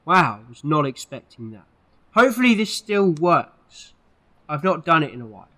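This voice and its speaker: A man's voice: on the high side, big pitch swings, moderate pace, bright, forceful tone, slight background noise. A man playing an eccentric, moralising alien time traveller in an audio drama, speaking earnestly and emphatically. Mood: determined. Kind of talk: fan audio drama acting.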